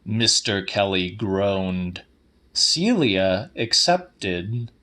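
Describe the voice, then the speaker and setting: A man's voice: on the low side, very slow, pushed and bright. A man narrating a literary audiobook in a dramatic scripted reading style.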